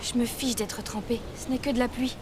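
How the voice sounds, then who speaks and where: An actress playing a guarded, stubborn teenage girl passing as a boy in an animated romance dub, reserved and curt. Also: on the high side, brisk, background noise, natural voice. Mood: guarded, resigned.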